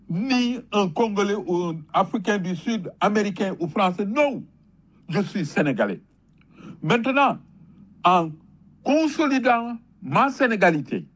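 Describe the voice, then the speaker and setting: A man with a Senegalese accent speaks in a husky, slightly high voice. He delivers an impassioned patriotic talk like a storyteller, leaning on repetition and emphatic, rhetorical phrasing. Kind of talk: impassioned patriotic public talk, switching between French and Wolof.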